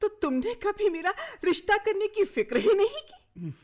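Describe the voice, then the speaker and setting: An actress in a radio play as an aggrieved, bitter unmarried aunt, wailing accusingly at her brother in a tearful, drawn-out delivery. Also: very high voice, sing-song, a little mellow. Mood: bitter reproach.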